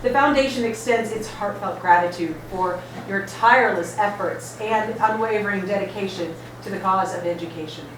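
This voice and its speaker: A woman's voice: medium pitch, normal speed, hardly any pauses. A woman hosting an awards ceremony, reading formal, upbeat announcements. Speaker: event emcee. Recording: echoey hall from far off, noisy.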